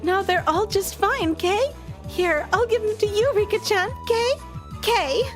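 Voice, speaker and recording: A woman voicing a sweet, excitable teenage anime girl, with a gushing, cutesy manner, reading a visual novel aloud. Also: very high voice, a little slow, soft background music, pitched above the performer's own voice. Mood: eager. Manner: squealing, gushing, pleading.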